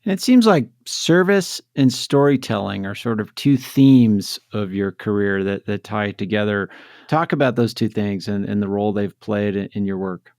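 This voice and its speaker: A man's voice: on the low side, normal speed, a little mellow, no echo, studio quality. A man hosting a podcast who reads a scripted introduction and then asks plain interview questions. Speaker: podcast host.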